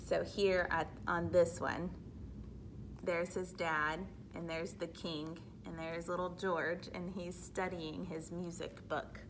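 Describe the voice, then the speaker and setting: A woman reading a children's book aloud expressively, pausing to explain things simply like a teacher. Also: lowish voice, level tone, background noise. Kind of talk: read-aloud storytelling with explanatory asides for children.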